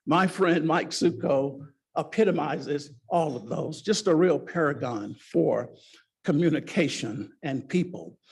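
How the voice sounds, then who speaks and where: Gravelly man introducing a speaker. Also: a little high, unhurried. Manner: formal, admiring.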